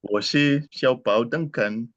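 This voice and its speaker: A man's voice: mid-range voice, slow pace, muffled recording, slight background noise. A man introducing himself politely and modestly to an online class. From Kenya. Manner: polite, modest, with a small laugh. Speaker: class participant. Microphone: video call.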